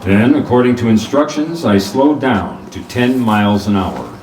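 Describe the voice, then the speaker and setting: An actor playing a wry, hardboiled private detective, narrating a noir radio drama in a clipped way. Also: male voice, on the low side, lively intonation, normal speed, darkish tone. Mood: wry, brisk. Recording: roomy, distant mic, faint noise.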